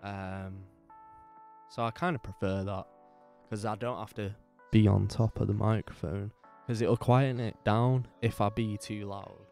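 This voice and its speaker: A podcast host with a bassy, slightly gravelly voice, talking casually and rambling, repeating himself, on a dynamic microphone that he moves closer and farther. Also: fairly even intonation, measured pace, darkish tone, soft background music. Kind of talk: casual talk about microphone settings. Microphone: dynamic microphone, distance varies.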